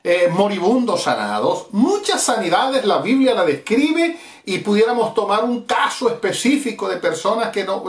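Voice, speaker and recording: A man's voice: high voice, reverberant. A middle-aged Venezuelan man preaching a fervent evangelistic sermon as pastor, with emphatic repetitions. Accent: Venezuelan Spanish. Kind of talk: evangelistic sermon streamed live.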